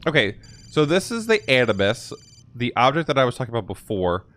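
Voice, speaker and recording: Animated man reacting to game cutscenes. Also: mid-range voice, a little fast, a little hiss or hum. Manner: animated, sarcastic interjections. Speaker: gaming channel host.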